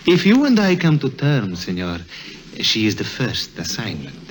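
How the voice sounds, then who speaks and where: An actor playing a cautious, courtly older boss of art thieves, speaking with formal, measured old-world manners in a 1950s radio drama. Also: male voice, lowish voice, huge pitch swings. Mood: stern, guarded. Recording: noisy old radio recording.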